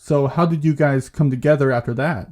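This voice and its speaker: An actor playing a calm, patient therapist in an audio drama, measured and gently probing with questions. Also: male voice, fairly high pitch, close to an everyday voice. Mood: neutral, questioning. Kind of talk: naturalistic audio drama dialogue.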